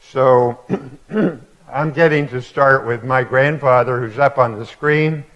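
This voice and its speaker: A man in his eighties giving a reflective public lecture to a live audience, telling stories in a halting way with many fillers. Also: mid-range voice, muffled recording. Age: 80s. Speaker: guest speaker. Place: auditorium before a live audience.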